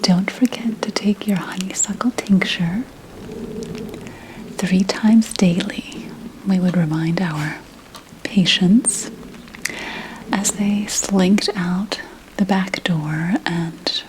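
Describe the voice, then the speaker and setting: A proud, secretive witch from centuries past, played by a woman, tells her story in a low, breathy whisper. She speaks very slowly, with arch, formal phrasing and a faint bitterness, in an intimate ASMR roleplay over soft background noise. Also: poor audio. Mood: solemn, wounded pride. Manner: deliberate, hushed, archly formal phrasing.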